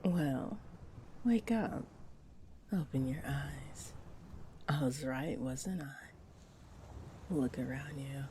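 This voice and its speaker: Woman voicing a slow, low, coaxing possessive mermaid. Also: warm tone, a little hiss or hum, heavily acted. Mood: sly, gentle. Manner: coaxing, slow, breathy half-whispers. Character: flirty, possessive, greedy female mermaid luring a pirate. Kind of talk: intimate roleplay audio drama. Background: wave ambience.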